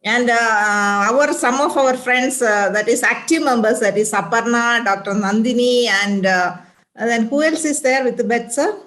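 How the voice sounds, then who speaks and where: A woman's voice: on the high side, moderate pace, a bit bright. A woman gives an informal speech at a women's group event, full of fillers and restarts. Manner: hesitant, many fillers and restarts. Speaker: association member and doctor.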